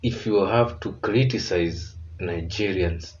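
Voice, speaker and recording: A man's voice: deep voice, slow, lo-fi sound, slight background noise. A Kenyan man hosting a reaction video, earnest and reflective as he comments.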